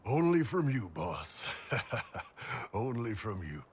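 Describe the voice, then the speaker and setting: A man voicing a big, gruff horned mercenary warrior in a video game, blunt and casual, with a husky, gravelly voice. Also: fairly low pitch, sing-song, takes it slowly, slight background noise. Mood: relieved, wry. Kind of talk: video game character acting.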